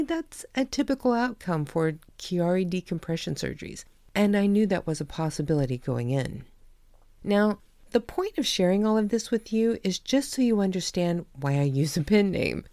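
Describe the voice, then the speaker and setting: A woman's voice: lowish voice, a little mellow, dry recording, studio quality. A woman hosting her own podcast, talking candidly in a chatty way and laughing at herself. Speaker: podcast host and author. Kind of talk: personal podcast monologue.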